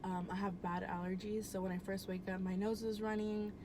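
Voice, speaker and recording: A woman's voice: mid-range voice, little pitch movement, a little fast. A young woman telling a personal story at home in a casual, rambling way, full of "um" and "like" and easy swearing. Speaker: vlogger. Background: air conditioner hum.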